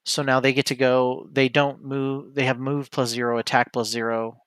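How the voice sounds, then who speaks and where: A man gives casual play-by-play of his own solo tabletop game in a medium-pitched voice. He thinks aloud and often stops to correct himself. The sound is dry and close, with little room in it. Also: flat delivery, moderate pace, fairly bright tone, smooth, no room sound. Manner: hesitant, self-correcting, talks through each move. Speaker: streamer playing a board game solo.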